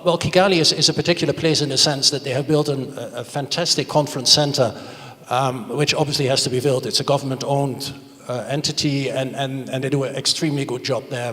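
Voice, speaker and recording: A man's voice: medium pitch, a bit bright. A middle-aged man answering on a panel, explaining emphatically and repeating words as he goes. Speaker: panelist, hotel investor. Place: large indoor conference stage. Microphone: handheld microphone. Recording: reverberant conference hall sound.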